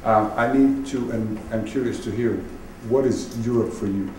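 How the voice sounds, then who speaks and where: A man from Bosnia, a native Bosnian speaker, moderating a panel discussion in halting, reflective phrases. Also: lowish voice, mellow. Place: public event room, recorded at a distance. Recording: distant echoey hall, clearly noisy.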